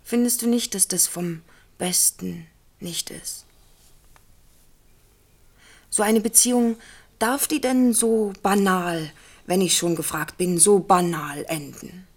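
Earnest, wheedling film star. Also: female voice, fairly low pitch. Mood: hesitant, pleading. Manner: hesitant, halting, persuasive. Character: earnest, wheedling film actress pleading over her role. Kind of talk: German radio drama acting.

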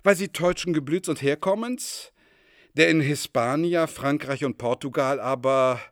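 A man's voice: on the high side, measured pace, dry recording, studio quality. An actor playing a pompous madman who believes he is Jupiter, declaiming grandly and solemnly in a literary radio drama. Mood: solemn, visionary. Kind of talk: theatrical literary radio drama in archaic German.

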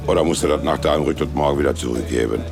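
Very deep, gravelly old grumbler. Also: male voice, rapid-fire. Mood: grumbling. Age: older. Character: elderly pensioner, grumbling deadpan male ranch companion. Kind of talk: satirical radio play dialogue.

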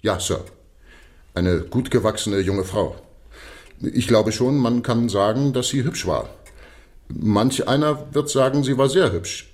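A man's voice: medium pitch, measured pace, pauses often, a little mellow, lightly acted. An actor playing a deferential, sheepish police constable in a radio drama, respectful and plain-spoken as he explains himself. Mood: respectful, earnest.

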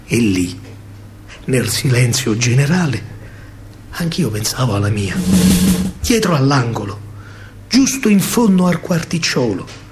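A man with a Roman accent performing a theatrical verse monologue as a soldier, declamatory and full of pauses. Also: mid-range voice, big pitch swings, darkish tone, slight background noise. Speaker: stage actor and storyteller.

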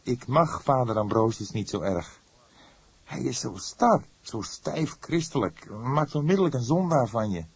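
A man acting out a young man in a detective audiobook, keyed up and anxious. The voice sits high and a little husky. He is earnest and insistent, pressing his report on the police with breathless urgency. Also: expressive, unhurried, no echo, heavily acted. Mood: timid, sulky.

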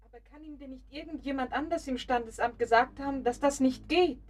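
An actress playing a worried, polite woman on the telephone in a German radio drama, hesitant and halting. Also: high voice. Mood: worried, crestfallen.

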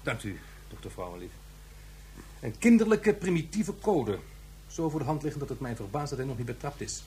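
A man's voice: mid-range voice, very animated, brisk, dark tone, natural voice. An actor playing a stubborn, earnest male professor in a science fiction radio drama, arguing precisely and insistently, with some pedantry. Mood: emphatic.

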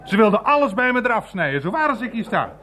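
An actor playing a teasing, worldly old uncle in a radio play, blustering and mocking in drawn-out, emphatic phrases. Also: male voice, high-pitched, very animated, pushed and bright, heavily acted. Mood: boastful storytelling. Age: older.

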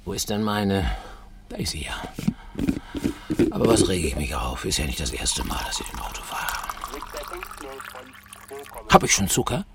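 A man's voice: deep voice, sing-song, moderate pace, long pauses, in a whisper. An actor playing a sarcastic, disgruntled policeman in a radio drama, muttering sardonically to himself. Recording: clear background noise with clicks.

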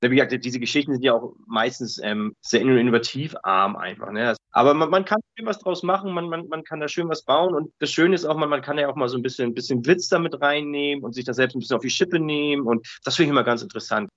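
Fast-talking man, chatty and slightly muffled. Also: mid-range voice, no echo. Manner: colloquial, rambling, animated storytelling with tag questions. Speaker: interview guest, audio drama writer. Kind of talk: casual interview chat.

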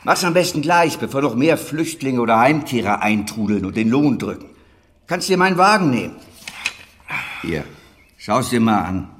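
A man's voice: mid-range voice, big pitch swings, normal speed, fairly bright tone. Earthy, fatherly older dairy farmer. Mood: jovial, urging. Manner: earthy, colloquial, wry, chuckling asides. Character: gruff, hearty older dairy master, fatherly to his former apprentice. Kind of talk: naturalistic German radio drama acting.

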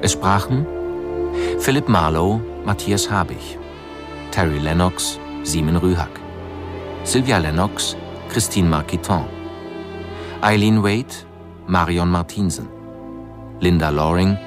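A man reading a formal scripted announcement of radio play credits in a measured, matter-of-fact way. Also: deep voice. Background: music under the announcement.

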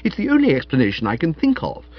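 A man's voice: on the high side, huge pitch swings, quick pace, highs cut off, voice pushed up, full character voice. A man voicing a blustering, hearty older English aunt in an audiobook, with emphatic, scolding, slangy talk. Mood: earnest, suspicious. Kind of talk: audiobook character voice.

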